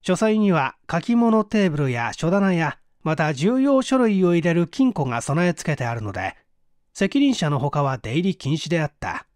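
A man reading a mystery novel aloud as scripted narration, measured and expressive. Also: fairly high pitch, no room sound, studio quality. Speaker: audiobook reader.

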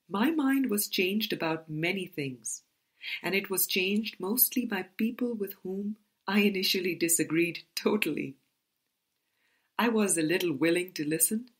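A woman reading a spiritual book aloud as scripted narration, in a deliberate manner with pauses between phrases. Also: on the low side, husky. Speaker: audiobook reader.

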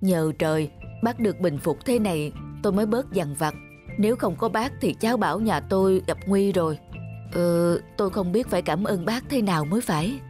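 An elderly male doctor in a cartoon, remorseful and polite. He speaks humbly and haltingly, over faint music. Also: high voice, lively intonation, a little mellow. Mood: remorseful, grateful. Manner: apologetic, humble, hesitant. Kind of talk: cartoon dubbing.

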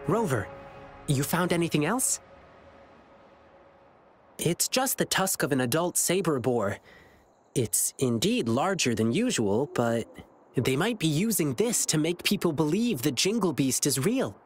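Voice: medium pitch, sing-song, a little slow, long pauses, faint music behind. An actor voicing an earnest, spirited, boyish youth in an anime video game, speaking with care. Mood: indignant, focused. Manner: earnest, caring.

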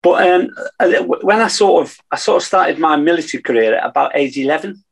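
A man's voice: a little high, lively intonation, a little fast, gravelly, slightly rough. A man in his sixties from Manchester with a northern English accent, casually telling his story as an interview guest. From Manchester, England. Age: 60s. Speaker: podcast guest, navy veteran. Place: room at home, video call.

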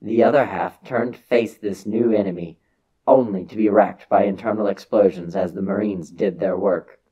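Man acting as a solemn old alien storyteller, dark low husky voice, grave pauses, dramatic sci-fi story reading, dull muffled recording. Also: fairly even intonation. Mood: grave, intense. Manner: grave, deliberate, theatrical pauses. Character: solemn old alien elder recounting war to children.

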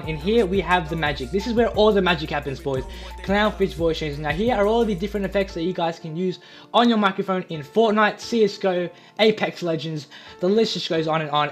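A man's voice: fairly high pitch, a bit bright. A young man hosting a casual tutorial in a chatty, eager, repetitive way. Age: young adult. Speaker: YouTube tutorial host.

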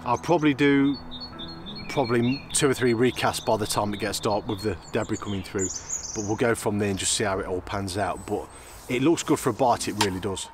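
Low-voiced man vlogging outdoors. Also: brisk, background noise. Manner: chatty, repeats "it really does". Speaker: angler filming his own fishing trip. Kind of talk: casual outdoor vlog narration. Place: outdoors on a fenland drain bank.

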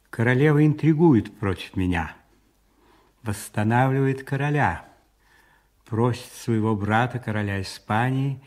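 An actor playing a cold, calculating cardinal and spymaster in a radio play, deliberate and commanding, with savouring pauses. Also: male voice, fairly low pitch, a little mellow.

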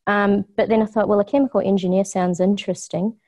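A woman's voice: mid-range voice, fairly even intonation, fast, dark tone. A middle-aged woman with a New Zealand accent, giving a casual community talk on food science with lots of ums, standing in an office kitchen. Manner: chatty, frequent ums, laughs easily.

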